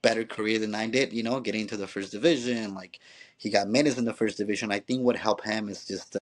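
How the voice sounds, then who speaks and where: A man with a lowish voice who talks quickly, piling up restarts and tossing in "you know" every few phrases. He tells stories from his playing days the way he would tell a friend over coffee. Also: fairly even intonation. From Mexico. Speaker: former pro player, youth coach. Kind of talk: conversational storytelling.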